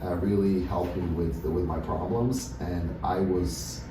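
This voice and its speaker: Man from Russia, very low voice, halting speech full of fillers, echoey room, distant mic with noise. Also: steady tone. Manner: hesitant, many fillers and restarts. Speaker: dentist, interview guest. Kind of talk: casual interview answers.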